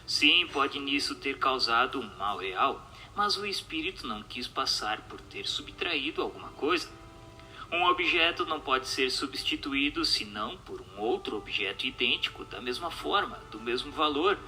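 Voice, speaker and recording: A man with a Brazilian accent giving a formal, measured reading of a scripted radio drama. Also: mid-range voice, animated, sharp tone, low-quality recording. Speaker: reader of a spiritist radio drama.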